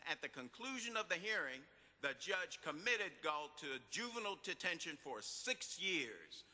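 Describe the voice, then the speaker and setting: Forceful, bright-voiced older man in a hall. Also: fairly high pitch, very animated, normal speed. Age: middle-aged or older. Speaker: reader at a legal commemoration event. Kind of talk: scripted case summary read aloud to an audience. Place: large hall at a public event. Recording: reverberant hall.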